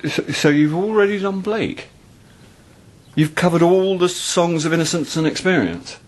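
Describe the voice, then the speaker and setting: An actor playing a weary, gloomy middle-aged tutor, dry and hesitant, in a radio drama. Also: male voice, on the high side, lively intonation, moderate pace, close to an everyday voice. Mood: taken aback. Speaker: university tutor.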